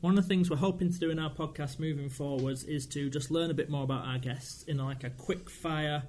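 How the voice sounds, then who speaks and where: Man, slightly high voice, casual podcast quizmaster, light background noise. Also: fairly quick, darkish tone. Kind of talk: casual quick-fire questioning.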